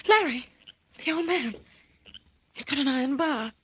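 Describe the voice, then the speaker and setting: A woman playing an anxious, excitable young client in an old-time radio detective drama, with emotive, melodramatic delivery. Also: high-pitched, normal speed, no room sound. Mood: frightened, frantic. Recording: dry old radio recording.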